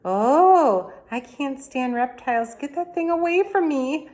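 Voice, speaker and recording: A woman's voice: high voice, animated, measured pace, darkish tone, voice pushed up. A woman reading aloud, voicing a fussy, flustered older woman guest who stammers and gets upset easily. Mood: shrieking.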